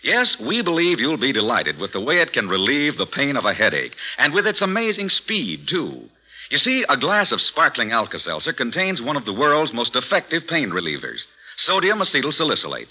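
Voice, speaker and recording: A man's voice: mid-range voice, wildly swooping pitch, fairly quick. A man with an American accent reading a 1940s radio advertisement in an emphatic, salesmanlike way. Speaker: radio announcer. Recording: dull vintage radio recording.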